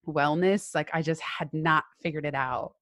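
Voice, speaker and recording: A woman's voice: low-pitched, steady tone, normal speed, fairly bright tone, dry recording, studio quality. A woman who is a self-employed entrepreneur, talking candidly and with animation as a guest in a casual interview.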